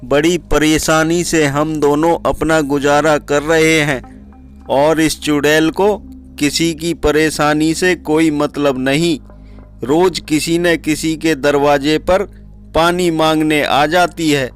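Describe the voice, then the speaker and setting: A man's voice: fairly high pitch, flat delivery, slow, smooth, faint music behind. A man voicing a weary, complaining village farmer in a cartoon story, plaintive and burdened. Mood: worried complaining. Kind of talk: Hindi cartoon story dialogue.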